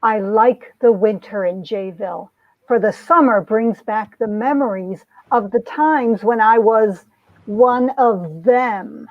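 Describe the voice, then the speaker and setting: A woman's voice: fairly high pitch, slow pace, no echo. A woman playing an earnest young small-town heroine telling her story in a romance comic reading, on dull lo-fi audio. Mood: bitter, brooding.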